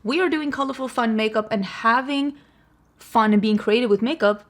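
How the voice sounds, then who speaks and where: A woman's voice: fairly high pitch, normal speed. A woman from Sweden with a Swedish accent, chatting casually through a makeup tutorial in a self-deprecating, laughing way. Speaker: beauty vlogger.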